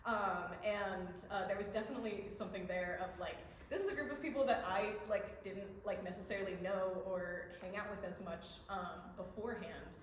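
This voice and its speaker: A woman's voice: mid-range voice, moderate pace, few pauses. A young woman answering questions as a playwright in a casual, hesitant, think-aloud way. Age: young adult. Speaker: student playwright. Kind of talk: casual post-show Q&A answers. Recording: very echoey room, faint background noise.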